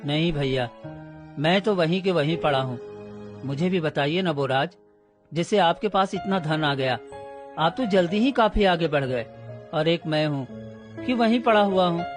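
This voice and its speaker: A man's voice: fairly high pitch, lively intonation, a little slow, pauses often, music in the background. A man voicing a poor, anxious young woodcutter in a children's story, humble and plaintive in manner. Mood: worried, pleading. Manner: plaintive, deferential.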